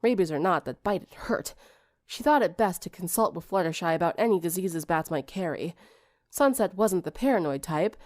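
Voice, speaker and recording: A woman reading a story aloud as an audiobook narrator, in an expressive scripted style. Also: fairly low pitch, moderate pace, no echo, clean studio sound.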